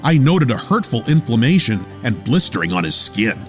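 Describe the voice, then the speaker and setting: A man plays a loyal lab assistant narrating a radio play. He tells it plainly and earnestly, with lively swings of pitch over soft music. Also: moderate pace, lightly acted. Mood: concerned. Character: loyal, watchful male lab assistant recounting his boss's life.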